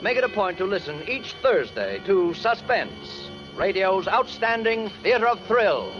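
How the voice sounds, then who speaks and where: A man's voice: high voice, very animated, unhurried. An American man announcing a radio thriller series in a formal, dramatic 1940s style. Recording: dull old radio recording, music behind.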